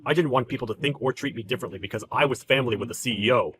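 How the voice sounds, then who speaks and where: A calm, deferential male employee, acted by a man in a story drama. He speaks formally and with restraint, quietly firm. The voice is clear-edged and bright, with faint music behind it. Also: mid-range voice, racing, pitched below the performer's own voice, natural voice. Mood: calmly firm.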